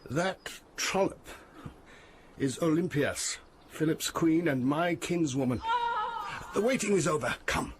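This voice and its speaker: A man's voice: a little high, expressive, unhurried, noisy background. A man playing a proud, commanding ancient Greek hero in a historical audio drama, declaiming with hunger for fame.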